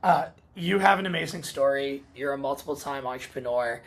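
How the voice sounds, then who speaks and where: A man's voice: mid-range voice, fairly quick. Upbeat male podcast host. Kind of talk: podcast interview.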